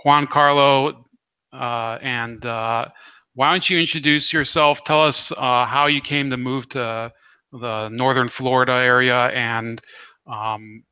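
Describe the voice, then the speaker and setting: A man hosting a casual interview in a halting way, as if thinking aloud. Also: mid-range voice, steady tone, bright, forceful tone, smooth, no echo, muffled recording. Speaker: interview host.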